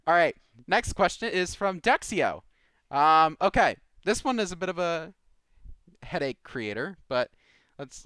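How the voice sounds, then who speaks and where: A man's voice: fairly high pitch, fairly bright tone, dry recording. A man hosting a live Q&A podcast in a casual, informal way, reading out questions and commenting loosely. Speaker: host of a live community Q&A show.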